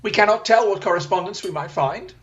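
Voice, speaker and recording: A keen, assured gentleman detective as acted out in an audio drama, speaking in formal, precise phrases. He takes command with theatrical confidence, at a medium pitch. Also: brisk, lo-fi sound, lightly acted. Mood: confident, explanatory.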